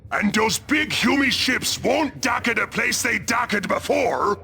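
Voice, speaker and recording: Game ork warboss, Cockney, gravelly, harsh, belligerent, slow forceful delivery, crude grammar. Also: male voice, extremely high pitch, lively intonation, full character voice. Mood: exasperated. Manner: bellowing, crude rough grammar. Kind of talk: video game cutscene acting. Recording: game music under the voice.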